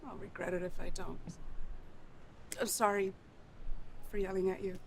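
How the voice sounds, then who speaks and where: A woman performing an emotional stage monologue as a bitter, overwhelmed adult child confronting an absent father's deathbed, sarcastic and halting. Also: a little high, sing-song, fairly bright tone, slight background noise, pitched above the performer's own voice. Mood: subdued, resigned. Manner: sarcastic, wounded, halting between outbursts.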